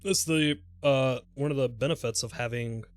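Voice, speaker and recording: A man live-streaming a game with casual, exclamatory commentary, reacting out loud and swearing freely. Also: mid-range voice, normal speed, clean tone, no echo.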